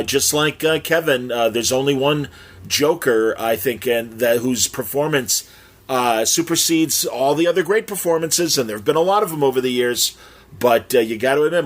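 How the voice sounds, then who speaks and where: A man hosting a podcast, talking off the cuff in a halting way with many fillers. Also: medium pitch, a bit bright. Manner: halting, filler-heavy delivery. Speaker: podcast host. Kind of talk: unscripted hosting talk.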